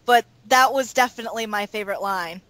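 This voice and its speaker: A woman talking casually as a cast member in an interview, animated and emphatic when retelling things. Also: medium pitch, fairly quick, no echo. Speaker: audio drama cast member.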